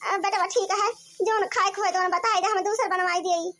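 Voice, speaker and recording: A woman plays a cartoon village mother-in-law who dotes on her son. The voice is very high, with an Awadhi accent. She bosses and scolds in a rustic, anxious manner. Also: steady tone, moderate pace, sharp tone. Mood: placating. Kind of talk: cartoon comedy dialogue.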